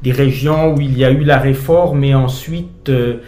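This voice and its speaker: A middle-aged man from Switzerland, a pastor being interviewed on the radio, speaking thoughtfully with frequent pauses and hesitations. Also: mid-range voice, fairly even intonation, warm tone, reverberant, faint music behind.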